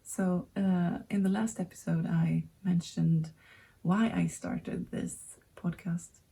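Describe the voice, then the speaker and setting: Slow, gravelly-voiced Swedish woman. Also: lowish voice, fairly even intonation, warm tone. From Sweden. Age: 30s. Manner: hesitant, many pauses and fillers. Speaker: knitting podcast host. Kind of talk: casual chat to camera.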